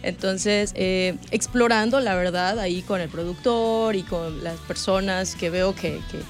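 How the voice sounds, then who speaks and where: Woman, Salvadoran accent, mid pitch, casual interview guest, chatty and giggly, faint music behind. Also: measured pace. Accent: Salvadoran Spanish.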